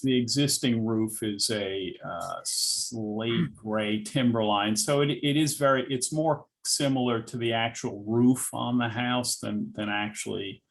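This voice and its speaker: A man presenting building plans at a review meeting, speaking informally in a hesitant, halting way as he explains and answers questions. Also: medium pitch, creaky. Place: public board meeting.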